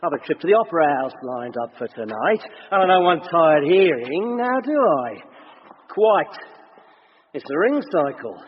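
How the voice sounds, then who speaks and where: A cultured, pompous male villain in a radio play. His fairly high voice swings expressively as he talks fastidiously, in a reverberant space. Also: sing-song. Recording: dull, reverberant, faint noise.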